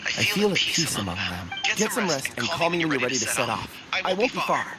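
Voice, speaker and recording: A gentle, crystal-loving young man. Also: fairly high pitch, very animated, brisk, a loud crowd. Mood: earnest. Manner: soft, earnest and polite. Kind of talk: game character dialogue.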